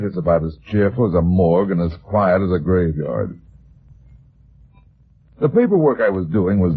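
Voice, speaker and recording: A deep, husky voice plays a heavyset private eye, wry and world-weary. He delivers dry, tough radio-noir narration and dialogue on a dull, lo-fi old recording. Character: hard-boiled, unhurried fat private detective, world-weary and wry. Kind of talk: 1940s-style radio detective acting and narration.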